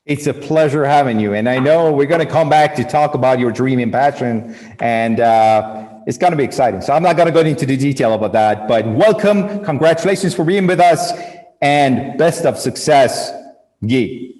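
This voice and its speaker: A man's voice: mid-range voice, normal speed, darkish tone. A man hosting a live cooking competition, introducing contestants with upbeat, emphatic announcements. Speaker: host. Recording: long hall reverb.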